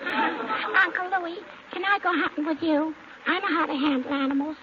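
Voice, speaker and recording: A woman's voice: extremely high pitch, moderate pace, crowd noise. A woman playing a bratty, wisecracking three-year-old girl with cutesy childish delivery in a 1940s radio comedy. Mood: cheeky, pleading. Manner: cutesy childish delivery of smart-aleck comebacks. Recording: dull, noisy 1940s radio recording, audience. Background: studio audience.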